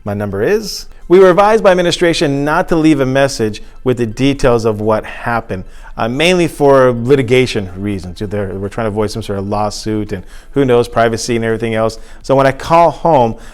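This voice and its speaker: A man's voice: mid-range voice, fairly quick, smooth. A man giving an informal instructional talk as a teacher, explaining things conversationally and repeating phrases for emphasis. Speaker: teacher and presenter.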